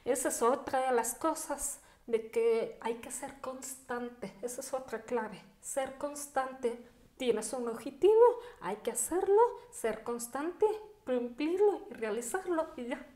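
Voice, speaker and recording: High-pitched Mexican woman in an echoey room. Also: slow, darkish tone. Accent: Mexican Spanish. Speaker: podcast guest. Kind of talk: conversational interview, personal storytelling. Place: indoor dining room. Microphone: podcast microphone on table stand.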